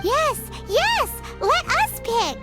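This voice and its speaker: A little floating fairy sidekick from a video game. The voice is extremely high, squeaky and childlike, swinging eagerly up and down. Loud music plays behind it. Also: female voice, big pitch swings, drawn-out words, heavily acted. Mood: excited.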